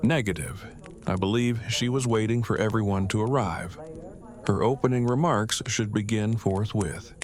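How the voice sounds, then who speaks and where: A man's voice: fairly low pitch, moderate pace, lightly acted. An actor playing an awkward, verbose man who talks in stiff, pedantic, formal phrases in an audio drama. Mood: deadpan formal.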